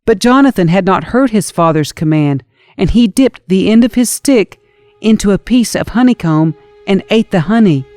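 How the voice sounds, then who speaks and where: A woman narrates scripture from a script, telling it as a story. Her voice sits at a middle pitch for a woman, and music plays behind it. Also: expressive, normal speed, warm tone. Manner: storytelling, dramatizes quoted speech. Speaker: narrator reading scripture aloud.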